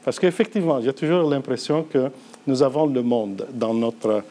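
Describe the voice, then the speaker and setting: A middle-aged man, an Arabic speaker, delivers a thoughtful conference talk in a mellow, warm tone. He speaks at an even, measured pace and pitches his voice in the middle of the male range. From Lebanon.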